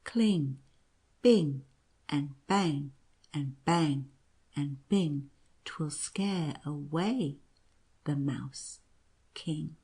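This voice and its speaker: A strange old godfather chants a slow, monotonous clockwork rhyme in a hushed, grating murmur, as a woman narrator puts him on. His voice sits low and breathy throughout. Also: heavily acted. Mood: eerie chanting.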